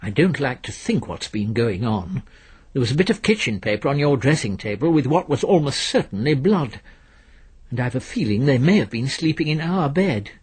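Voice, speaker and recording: A man's voice: mid-range voice, very animated, normal speed, a little mellow, breathy edge. A man voicing a pompous middle-aged solicitor, formal, pedantic and easily indignant, in a radio story. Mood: stiff, fussy.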